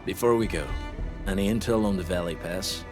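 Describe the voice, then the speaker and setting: A man playing a stoic, knowledgeable warrior scout in a video game, speaking in a measured, serious way. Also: natural voice. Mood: grave, measured. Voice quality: low-pitched voice. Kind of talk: video game character acting. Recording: voice over game music.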